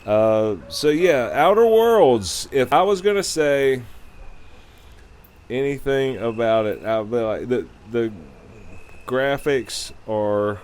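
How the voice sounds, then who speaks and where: A man giving a casual, rambling game review in a loose, halting, unscripted way. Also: lowish voice, darkish tone, a little hiss or hum.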